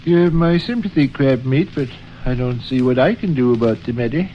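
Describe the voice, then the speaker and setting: A man's voice: medium pitch, expressive, fairly quick, lightly acted. An actor playing a droll middle-aged father in a 1930s radio comedy, dry and wordy with mock-formal phrasing and slangy asides. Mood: dryly indulgent. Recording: dull, noisy 1930s radio recording.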